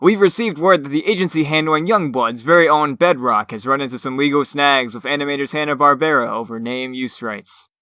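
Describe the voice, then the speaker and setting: A man's voice: mid-range voice, a little fast, few pauses, pushed and bright, no room sound, studio quality, natural voice. A man playing a glib, wry entertainment news reporter, reading scripted items in a comic dub.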